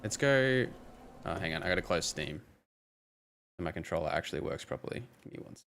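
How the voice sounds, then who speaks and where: A man with a hoarse, worn voice, casually commentating a game stream to his chat and thinking aloud with lots of fillers. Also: sits low, animated, a little fast, a bit bright, a little hiss or hum. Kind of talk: casual live-stream commentary, chatting with viewers. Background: game music.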